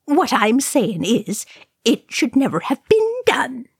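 Gruff, scolding old dwarf kinsman played by a woman, fantasy audiobook, pushed higher and unhurried. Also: huge pitch swings, no echo, clean studio sound, exaggerated voice. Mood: indignant, sad. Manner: scolding, muttered retorts.

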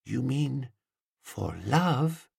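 A man voicing a dreamy, sentimental young woman in an audiobook, with a soft, breathy, sighing delivery. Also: on the high side, sing-song, takes it slowly, dry recording, clean studio sound, pitched above the performer's own voice, heavily acted. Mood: hushed, hopeful. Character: dreamy, sentimental, wistful young woman, whimsical and slow-witted. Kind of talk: audiobook character voice.

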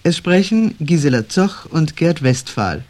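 A man's voice: on the high side, lively intonation, measured pace, a little mellow. A man reading a scripted introduction as an announcer on 1970s German radio.

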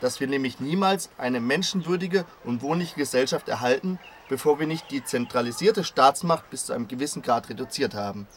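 A man playing an earnest, intellectual anarchist father who argues in measured, formal phrases in a scripted radio play. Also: medium pitch, lively intonation, close to an everyday voice. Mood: earnest, firm. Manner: measured, argumentative, formal wording. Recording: clear background noise and ambience.

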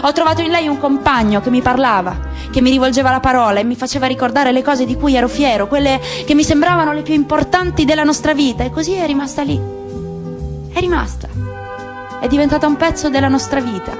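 An earnest, gentle middle-aged father who has lost much, as a woman reads him aloud. He sounds soft, measured and high-pitched, with music playing clearly behind the voice. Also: natural voice. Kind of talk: radio drama reading.

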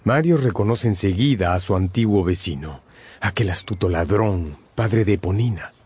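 Low-voiced man narrating a radio drama. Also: normal speed, a little mellow, husky. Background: prominent music.

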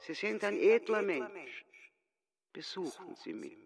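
Loud music surrounds a gravelly, unhurried champion's voice. He is aloof and weary, brushing people off quietly in radio-drama manner. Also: high-pitched, sing-song, exaggerated voice. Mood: quietly condescending. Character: weary, dismissive world chess champion. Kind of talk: radio play acting.

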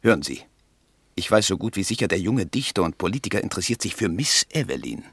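Excitable campaign plotter, lowish husky voice, radio drama. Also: male voice, fast talker, dry recording, heavily acted. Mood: urgent, scheming. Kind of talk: German radio play acting.

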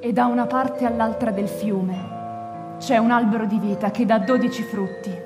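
An actress playing a woman who recites memorized scripture in a calm, chanting way. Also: on the high side, normal speed, natural voice. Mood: solemn. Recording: reverberant hall with mallet music.

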